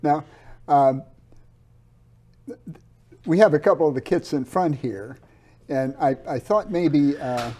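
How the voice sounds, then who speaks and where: A man hosting a community interview show, asking questions in a halting, restarting way and chuckling often. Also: on the high side, mellow, a little hiss or hum. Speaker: host.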